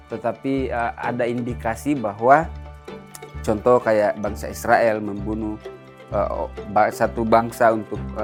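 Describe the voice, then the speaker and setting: An Indonesian man giving an unscripted, explanatory interview answer in a halting way. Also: fairly low pitch. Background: music.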